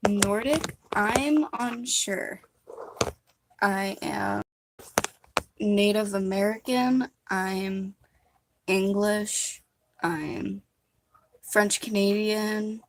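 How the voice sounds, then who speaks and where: A woman's voice: medium pitch, fairly even intonation, very slow, long pauses, noisy background. A young woman chatting casually and musingly while live streaming a makeup session, rambling between thoughts. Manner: rambling, soft-spoken and musing.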